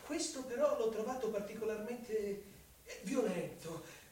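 An actor playing a shrewd, falsely courteous police investigator with a mannered, needling way of questioning, in radio theatre. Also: male voice, high-pitched, expressive, darkish tone, a little hiss or hum. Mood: musing, pointed. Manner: mannered, insinuating, drawn-out questioning. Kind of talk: Italian radio theatre acting. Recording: echoey room, distant mic.

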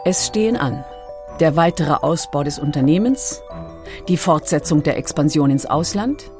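A woman reading a scripted documentary narration with measured, dramatically phrased storytelling. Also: low-pitched, lively intonation, over music. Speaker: audiobook narrator.

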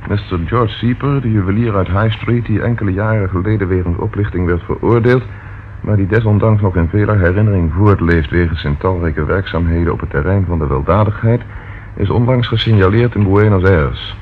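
A man plays a stern, determined detective in a radio drama. He is measured and probing. The recording is old and dull-sounding. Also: deep voice, lightly acted. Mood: reading aloud. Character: determined, stern English police inspector, probing and sceptical. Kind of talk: radio play acting. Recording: muffled old radio recording, faint noise.

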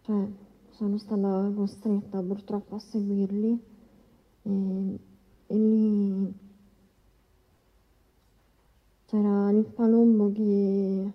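An actress playing a young woman testifying in a courtroom drama, shaken but defiant, earnest and halting. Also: mid-range voice. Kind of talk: courtroom docudrama acting. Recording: dull sound with long hall reverb.

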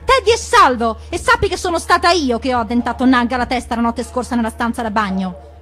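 A woman's voice: fairly high pitch, expressive, brisk, a bit bright, soft background music, pitched above the performer's own voice. A woman voicing a bold, taunting young female mongoose warrior in a storybook reading, crowing and chanting. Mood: triumphant. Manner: crowing, taunting, chanting her own name.

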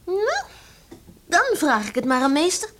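High-voiced, studious little girl. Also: wildly swooping pitch, a bit bright, heavily acted. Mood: innocent, insistent. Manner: slow, deliberate, childlike. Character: studious, eager young village girl. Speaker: radio drama actor. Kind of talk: Dutch radio play acting. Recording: noisy old radio play recording.

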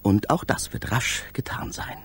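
An actor playing a dreamy, fervent poet-inventor and space traveller in a literary radio drama, with a theatrical, poetic delivery. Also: male voice, measured pace, in a whisper, close to an everyday voice. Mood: determined, exalted.

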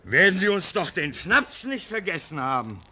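A man's voice: sits high, sing-song, moderate pace, sharp tone, breathy edge, full character voice. A man acting an earnest, comforting, self-denying outpost dweller in a German radio play. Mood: eager.

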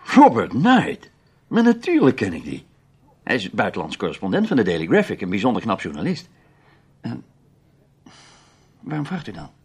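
A man's voice: fairly low pitch, huge pitch swings, normal speed, pauses often, darkish tone, husky, heavily acted. A man playing a gallant, self-assured foreign correspondent in a Dutch radio play, with a jovial, theatrical way of talking. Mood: curious, probing.

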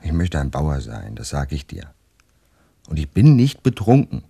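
An actor playing an earthy, stubborn young recruit in a German radio play, blunt and defiant, with a husky voice. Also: male voice, rumbling low, wildly swooping pitch, moderate pace, warm tone, heavily acted. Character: earthy, stubborn young peasant-minded man, army recruit.

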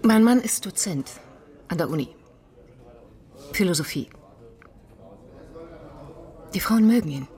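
An actress playing a betrayed, determined stewardess in a naturalistic radio drama, speaking in short, controlled sentences. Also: female voice, on the low side, darkish tone. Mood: hurt, controlled. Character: betrayed, determined airline stewardess consulting a detective. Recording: music under the voice.

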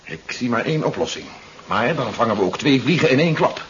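A man's voice: mid-range voice, animated, fast, a bit bright, natural voice. A man playing a resolute, authoritative resistance leader in a 1970s radio drama. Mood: grave, matter-of-fact. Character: resolute, commanding male leader of a resistance war. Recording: muffled 1970s radio recording, noisy.

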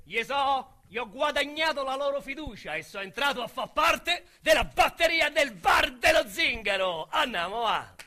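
Cocky roman crook, high bright voice, trash-talking radio play lead, faint music behind. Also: male voice, expressive, moderate pace, few pauses, full character voice. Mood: boastful. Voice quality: coarse street slang, swaggering delivery. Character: brash Roman street criminal, boastful, foul-mouthed. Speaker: narrator and lead character. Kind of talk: radio drama monologue.

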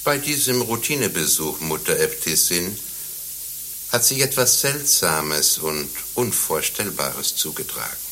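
Stern, sharp-voiced male inquisitor. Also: sits low, very animated, unhurried, full character voice. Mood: grave, accusing. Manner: formal, pompous. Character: stern, officious inspector of the Holy Inquisition. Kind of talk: radio play acting. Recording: faint hum and hiss.

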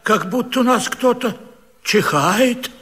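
An actor playing a fussy, fond old husband in a radio comedy, stammering and repeating himself in a coaxing, placating way. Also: male voice, very high-pitched, animated, takes it slowly, sharp tone, husky, big-room sound, heavily acted. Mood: fussy, puzzled. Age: older. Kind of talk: classic radio comedy vaudeville acting.

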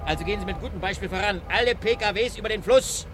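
An actor playing an unyielding, brusque police sergeant in a radio crime drama, urgent and insistent. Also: male voice, high-pitched, fast talker, background noise, lightly acted. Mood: urgent, firm.

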